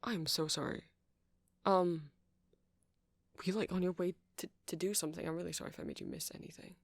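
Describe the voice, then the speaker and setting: An awkward young male vampire shopkeeper, acted by a woman, lowish and creaky, speaking very close in a dry space. He stammers, hedges and rambles apologetically, flustered and gentle in an ASMR audio drama. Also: fairly even intonation, brisk, no room sound.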